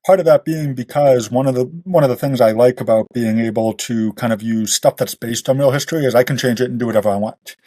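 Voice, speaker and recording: Mellow-voiced man in casual podcast talk. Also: mid-range voice, fast, few pauses, dry recording. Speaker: guest author of western fiction.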